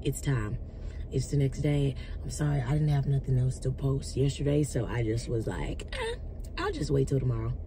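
Raspy young woman vlogging in car. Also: rumbling low, normal speed. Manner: chatty, addresses viewers as y'all, lively hand gestures. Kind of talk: casual chat to camera. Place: parked or driving car, indoors of vehicle. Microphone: phone camera on dashboard. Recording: car noise behind.

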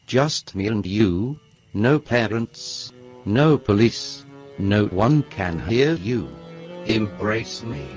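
A man's voice: lowish voice, animated, takes it slowly, soft background music. An actor playing a creepy, needy bald man in a horror cartoon, speaking in an ominous, menacing way. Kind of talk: slow horror cartoon monologue. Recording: dull lo-fi clip.

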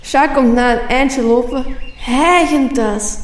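A woman's voice: sits high, slow pace. A woman reading scripted narration for a radio play. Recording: echoey room, faint music.

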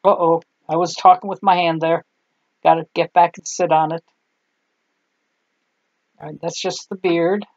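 A woman's voice: fairly low pitch, fairly even intonation, a little slow, pauses often, darkish tone, no room sound, lo-fi sound. An older woman, German her first language, chatting in a homey, rambling way while she shows a clay craft, tired at the end of the day. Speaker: craft tutorial host. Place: home craft table, indoors.

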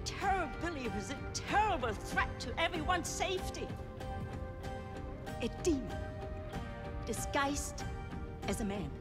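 An actress playing a grandiose, menacing female general, speaking in a measured, self-righteous and pompous way. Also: high voice, sing-song, over music. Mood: solemn, self-satisfied. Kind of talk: theatrical interview speech.

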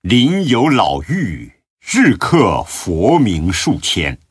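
A male narrator recites in a deep, husky voice with very wide swings of intonation. His solemn scripted delivery is measured and dramatic, extremely slow, each phrase set apart. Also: huge pitch swings, dry recording, studio quality. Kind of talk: solemn scripted narration of classical religious biography.

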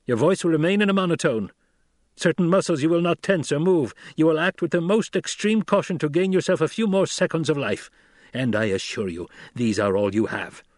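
A man acting a snarling, vengeful warrior, pitched high. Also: dry recording, clean studio sound, close to an everyday voice. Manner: snarling, menacing, forceful. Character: enraged, vengeful loyal warrior holding a hostage. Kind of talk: audiobook character acting.

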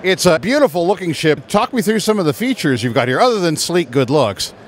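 A man works as an on-location interviewer. His voice is clearly high for a man, and he speaks into a handheld microphone on a trade show floor. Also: big pitch swings, a little fast.

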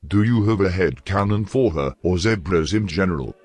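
A man's voice: deep voice, expressive, normal speed, a little mellow, soft background music. A man acting as interviewer, putting prepared questions in full, orderly sentences.

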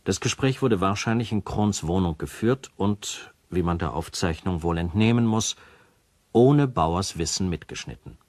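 An actor playing a formal official who presents recorded evidence in a radio drama, speaking in a matter-of-fact way. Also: male voice, sits low, lively intonation, moderate pace, darkish tone, no room sound. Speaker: narrator. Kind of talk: scripted radio drama narration.

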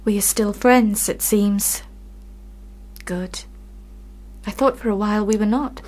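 A woman's voice: mid-range voice, steady tone, slow, darkish tone, lightly acted. An actress playing a passionate, bitter, lovelorn young woman in a radio play, with intense, literary, theatrical delivery. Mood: yearning.

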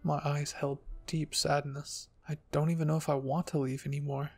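A man narrating a first-person story in a scripted ASMR reading style, with a husky, gravelly voice. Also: a little high, little pitch movement, normal speed, faint music behind. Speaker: fan fiction reader and narrator.

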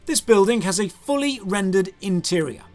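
A man's voice: high voice, expressive, normal speed, faint music behind. A British man in his thirties narrating a scripted game review in a sardonic, critical manner. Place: small room lined with game shelves.

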